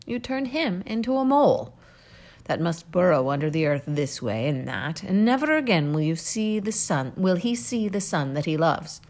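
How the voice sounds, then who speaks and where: A woman reading aloud, voicing a proud, defiant young male hero-king who declaims loudly. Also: fairly low pitch, very animated, moderate pace. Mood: scornful.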